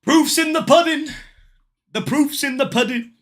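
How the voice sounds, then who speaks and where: A man's voice: very high-pitched, measured pace, a bit bright. A young man chatting casually on a live trading stream, slangy and swearing as he banters with viewers. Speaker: day trading livestreamer.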